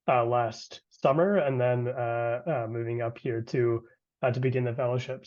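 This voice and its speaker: A man's voice: mid-range voice, darkish tone. A man introducing himself as a startup founder on a video call, hesitant and filling gaps with "uh". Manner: hesitant, with many "uh" fillers. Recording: dull call audio.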